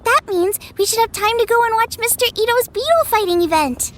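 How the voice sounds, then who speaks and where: A woman's voice: very high-pitched, lively intonation, fairly quick, background noise. A woman voicing a cheerful, chatty young girl in an anime game. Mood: upbeat.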